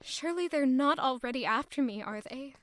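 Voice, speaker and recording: A woman's voice: sits high, lively intonation, fairly quick. A woman playing an anxious, self-doubting young noblewoman in an audio drama, with a dramatic inward delivery. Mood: anxious, resolute. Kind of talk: audio drama narration and acting.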